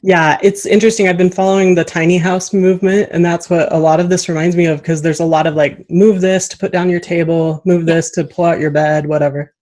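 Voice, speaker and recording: A woman's voice: lowish voice, steady tone, a little fast, few pauses, darkish tone. A woman hosting a podcast interview in a casual, enthusiastic and encouraging way.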